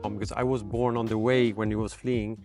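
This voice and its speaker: A man's voice: lowish voice, level tone, fairly quick, over music. A man in his forties from Sweden, a native Persian speaker, chatting casually as an interview guest and often repeating words as he thinks. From Sweden, born in Iran. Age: 40s. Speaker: podcast guest, dentist. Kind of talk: casual chat.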